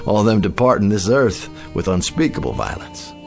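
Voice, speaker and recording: Deep, theatrical frontier huckster. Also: male voice, big pitch swings, a little slow, creaky, soft background music, heavily acted. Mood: sly, boastful. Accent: American. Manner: theatrical, grandiloquent, folksy salesman patter. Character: smooth-talking frontier showman who claims to raise the dead. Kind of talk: radio drama acting.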